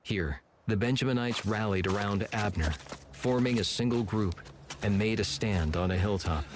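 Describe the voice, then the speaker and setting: A man narrating scripture from a script in a measured, solemn manner. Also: on the low side, a little hiss or hum. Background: music.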